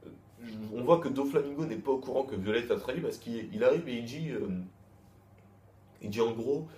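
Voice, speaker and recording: A man's voice: mid-range voice, fairly quick, mellow. A man giving a casual, rambling review with many restarts and crude slang. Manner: loose, repetitive, with frequent restarts and crude slang. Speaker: manga chapter reviewer. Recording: echoey room, faint background noise.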